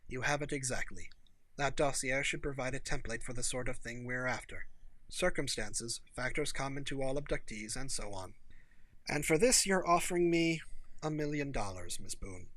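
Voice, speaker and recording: A man voicing a dapper, politely professional businessman of about fifty, formal and measured. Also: mid-range voice, fairly bright tone, dry recording, close to an everyday voice, low-quality recording. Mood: businesslike.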